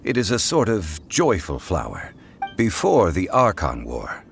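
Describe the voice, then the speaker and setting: A cultured, knowledgeable gentleman from a video game. His voice sits low yet moves with lively inflection, formal and composed, over faint music. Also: unhurried, creaky, heavily acted. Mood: explanatory. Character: refined, scholarly gentleman, calm and knowledgeable. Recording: music behind the voice.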